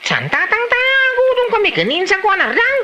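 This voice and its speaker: A woman's voice: very high-pitched, wildly swooping pitch, brisk, muffled recording, full character voice. A woman acting a reluctant, grumbling young villager in an Ilocano comedy drama, with an Ilocano accent. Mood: pleading.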